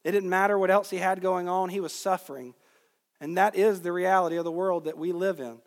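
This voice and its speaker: A man from Georgia in the United States preaching an expository sermon to a congregation, teaching with deliberate emphasis on key words. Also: high-pitched, fairly even intonation. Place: large reverberant room, speaking to a congregation. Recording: long reverb of a large hall.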